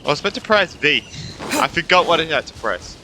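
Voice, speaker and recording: A man's voice: a little high, sing-song, a little fast, sharp tone, a little hiss or hum, low-quality recording. A man giving casual, off-the-cuff gameplay commentary as a streamer, reacting and swearing freely. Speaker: gaming streamer. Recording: faint game music behind.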